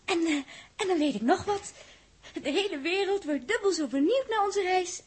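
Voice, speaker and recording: A schoolgirl stowaway in a vintage science fiction radio play. The voice is extremely high and girlish, tumbling along breathlessly with stammers and little coquettish turns. She sounds naive and chatty. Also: animated, normal speed. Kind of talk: 1960s Dutch radio drama acting.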